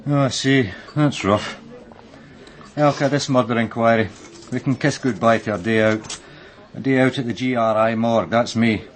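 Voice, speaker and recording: A man's voice: mid-range voice, moderate pace, a little hiss or hum, close to an everyday voice. An actor playing a dry, weary male detective sergeant in a radio crime drama, speaking plainly and with understatement.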